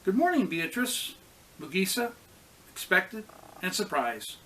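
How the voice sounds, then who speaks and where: A man's voice: high-pitched, very animated, a little slow, pushed and bright, slight background noise, full character voice, poor audio. A man voicing a friendly, wistful village boy in a storybook, speaking plainly. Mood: friendly greeting.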